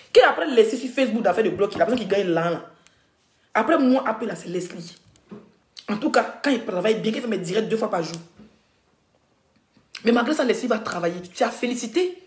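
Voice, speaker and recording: A woman with a cold delivers an indignant, insulting rant to her live-stream viewers, coughing now and then. Her voice sits a little high. The sound bounces around an echoey room. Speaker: blogger doing a live stream.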